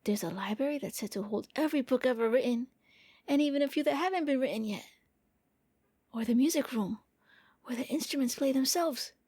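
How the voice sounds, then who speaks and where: A gentle young vampire prince voiced by a woman speaks in a lightly high, soft voice, recorded very dry. He is an eager, lonely host, coaxing and a little hesitant, with playful touches in an anime ASMR roleplay style. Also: brisk, breathy edge, no room sound. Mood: eager, shy.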